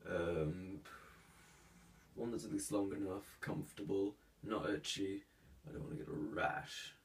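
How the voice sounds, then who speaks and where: A haughty, sick young heir, played by a man in an ASMR roleplay talks in a formal, hesitant way, stuffy with a cold. His voice sits low and unhurried. The mic is a little distant, with faint hiss behind.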